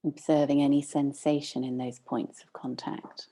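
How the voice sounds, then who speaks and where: A woman meditation teacher leading a guided practice, instructing gently and unhurriedly with long pauses. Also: very deep voice, little pitch movement.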